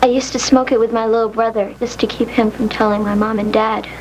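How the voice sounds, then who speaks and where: A woman's voice: a little high, flat delivery, fairly quick, close to an everyday voice. A woman playing a subdued young former crack user giving testimony in an educational film. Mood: flat, confessional. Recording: faintly noisy old film soundtrack.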